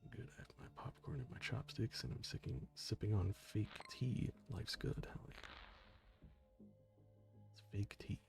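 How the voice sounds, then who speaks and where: Man, gravelly streamer voice at a mid pitch, low-key and jokey, close mic, faint music behind. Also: measured pace, pauses often. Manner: low-key and soft-spoken, joking, sometimes whispering. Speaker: game streamer reading chat. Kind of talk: casual gaming stream chat.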